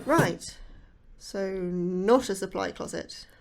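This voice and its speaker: A woman's voice: medium pitch, takes it slowly, creaky, a little mellow. A woman playing an anxious, wary scientist newly recruited in a fiction podcast, speaking hesitantly and full of uncertain questions. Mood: wary, puzzled. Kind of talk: audio fiction podcast acting.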